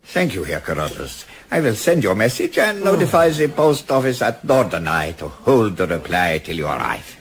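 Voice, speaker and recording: A man playing a courteous, chatty German harbour official with a German accent in a radio play, formal yet expressive. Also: on the low side, normal speed, hardly any pauses, a little hiss or hum. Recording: crowd bustle behind the voice.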